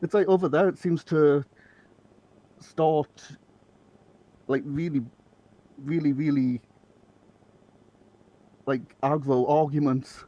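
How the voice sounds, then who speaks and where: A man's voice: a little high, fairly even intonation, slow, pauses often, warm tone. Blunt Northeast English man chatting. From Northeast England. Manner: blunt, swears freely, loose rambling phrasing. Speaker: podcast guest. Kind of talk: casual podcast chat.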